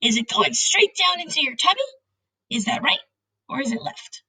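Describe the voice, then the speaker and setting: A woman voicing an excitable, indignant male storybook giant who speaks emphatically in mangled grammar and nonsense words. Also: high-pitched, moderate pace, voice pushed up, full character voice. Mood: insistent questioning.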